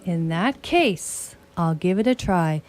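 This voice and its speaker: A woman playing a haughty, excitable, brilliant detective in an imperious, theatrical way for a live radio comedy. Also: lowish voice, slow pace. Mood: reluctant.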